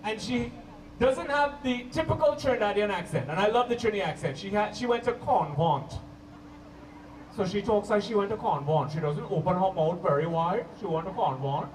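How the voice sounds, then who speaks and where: High-voiced Canadian stand-up comedian, recorded from afar. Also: male voice, lively intonation, moderate pace, roomy sound. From Toronto, Canada. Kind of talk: stand-up comedy routine to a live audience. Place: live comedy show at a park venue. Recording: distant mic, hissy live-show camcorder sound.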